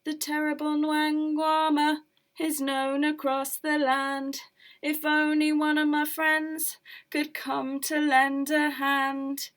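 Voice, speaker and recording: A fretful village woman from a storybook, fearing the monster, as a woman storyteller acts her out. She chants her worries in a loud, high sing-song. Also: takes it slowly, distant mic. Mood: anxious.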